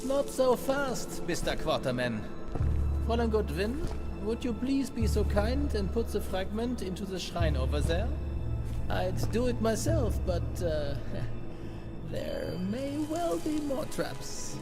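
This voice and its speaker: An actor playing a pompous, mock-courteous villainous German doctor with a German accent in a video game cutscene. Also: male voice, high voice, very animated, slow, exaggerated voice. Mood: scheming, suave. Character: theatrical villainous German doctor hunting ancient relics. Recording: loud music behind the voice.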